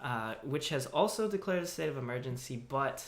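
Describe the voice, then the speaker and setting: A man's voice: mid-range voice, a little fast. Laid-back young man in an echoey room. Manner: relaxed, laid-back with swearing and laughs. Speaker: podcast co-host. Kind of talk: casual news chat.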